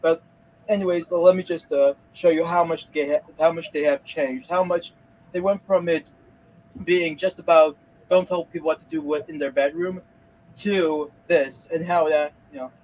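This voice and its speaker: A man hosting a reaction video, speaking in halting bursts with many restarts. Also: a little high, fairly even intonation, a little mellow, a little hiss or hum, poor audio. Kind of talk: casual reaction commentary.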